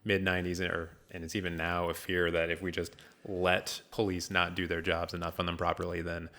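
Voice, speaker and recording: Male host, very low and flat-toned, steering a casual film chat with considered questions. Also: a little fast.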